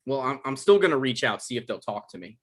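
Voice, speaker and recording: A man's voice: medium pitch, big pitch swings, quick pace, a bit bright, husky. A man playing a naive, defensive novelist in a comedy table read. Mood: earnest.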